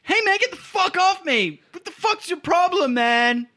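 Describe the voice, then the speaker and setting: A man plays a panicky young tabloid photographer in a tabletop game, his voice pushed high and bright. He whines and stammers, repeating himself as he pleads, and his pitch swoops around. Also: lively intonation, normal speed, full character voice. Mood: outraged. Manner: whiny, stammering, repetitive pleading.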